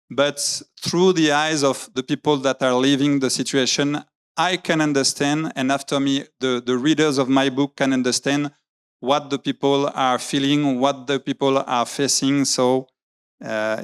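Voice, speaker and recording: A man's voice: medium pitch, steady tone, measured pace, clear, resonant tone. A man giving a talk in English, hesitating often, searching for words and restarting his sentences. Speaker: graphic novelist giving a talk. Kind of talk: public talk with slides. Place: lecture venue.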